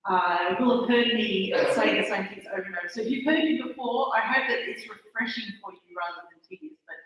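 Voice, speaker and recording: A woman's voice: mid-range voice, a little fast, fairly bright tone. A woman from Australia with an Australian accent, giving a chatty, self-deprecating conference talk that halts and restarts. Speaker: conference speaker. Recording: echoey hall, mic far off.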